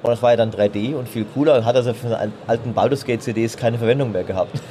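A man in his thirties jokes and ribs his colleagues at a trade fair. His voice sits at an ordinary pitch and stumbles through fillers and restarts. Faint crowd ambience hums behind him. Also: fast, mellow, slight background noise. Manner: playful, teasing, many fillers and restarts. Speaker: games journalist. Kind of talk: casual chat. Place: busy trade fair floor.

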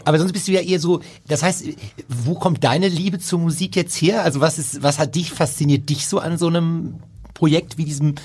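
A man's voice: on the high side, fairly even intonation, fairly quick, darkish tone, husky. A man hosting the conversation, asking his guests friendly questions. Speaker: host. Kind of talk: interview conversation.

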